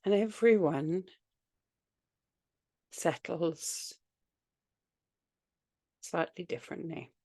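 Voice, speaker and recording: A woman with a slightly low, gravelly-edged voice leads a guided meditation. She speaks extremely slowly and soothingly, leaving long pauses between short phrases. The sound is dry and close, with very little room around it. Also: mellow, no room sound. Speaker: yoga nidra guide. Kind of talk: guided meditation, spoken relaxation practice.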